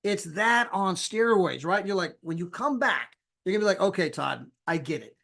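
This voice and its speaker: High-voiced man giving a lively sales pitch. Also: moderate pace, gravelly. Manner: animated, acts out quoted people with big pitch jumps; casual, folksy phrasing. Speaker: sales presenter. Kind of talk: energetic sales pitch on a live call.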